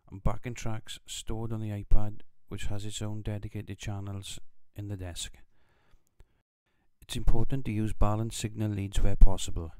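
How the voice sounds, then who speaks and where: A man giving measured, scripted instructional narration in the manner of a tutorial instructor. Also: fairly low pitch, warm tone, no echo.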